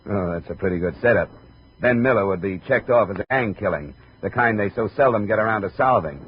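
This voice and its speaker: A man's voice: lowish voice, expressive, a little fast, close to an everyday voice. Wry, tough detective played by a man, noisy old radio. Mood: skeptical, probing. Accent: American. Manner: sardonic, probing. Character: wry, skeptical, tough male private investigator. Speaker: lead detective of the series. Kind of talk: 1950s hardboiled radio drama acting. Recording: dull, noisy 1950s radio recording.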